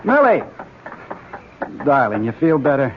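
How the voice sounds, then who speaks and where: An actor playing a brisk archaeologist husband in a radio drama, calling out orders. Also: male voice, mid-range voice, huge pitch swings. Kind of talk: 1970s radio drama acting. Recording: dull, noisy old radio broadcast.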